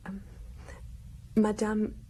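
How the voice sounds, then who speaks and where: Young female suspect: soft dark tone, hesitant and scared, faint noise behind. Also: mid-range voice, completely flat delivery, extremely slow. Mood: frightened, reluctant. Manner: hesitant, hushed, faltering. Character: frightened young woman under police questioning. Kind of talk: audio drama acting.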